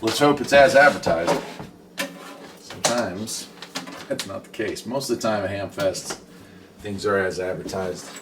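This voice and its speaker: Guy with a lowish voice casually showing off gear, thinking out loud, noisy background. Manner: unhurried, thinking aloud. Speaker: radio hobbyist presenter. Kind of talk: casual hands-on demonstration. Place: indoors beside a shortwave receiver. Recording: clear background noise, radio static.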